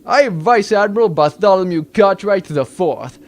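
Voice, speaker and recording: A man playing a courteous, self-important gentleman vice admiral with a formal manner in a comic space drama. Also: sits high, expressive, moderate pace. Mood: courtly. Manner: formal, genteel. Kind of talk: comic sci-fi audio drama acting. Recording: music behind the voice.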